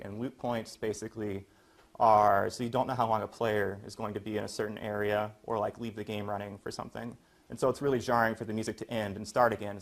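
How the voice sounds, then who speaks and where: A young American man giving an informal explanatory presentation as a college student. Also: on the low side. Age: young adult.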